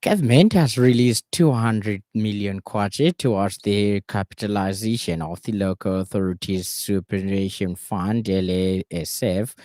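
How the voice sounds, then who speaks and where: A man with a Zambian accent reading a scripted radio news bulletin in a formal, measured manner. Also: fairly low pitch, dark tone, no echo, studio quality. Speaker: news reader. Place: radio studio.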